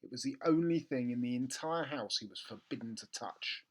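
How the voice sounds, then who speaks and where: A man narrating a children's book expressively, with theatrical emphasis and comic pauses. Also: mid-range voice, lively intonation. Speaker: storybook reader.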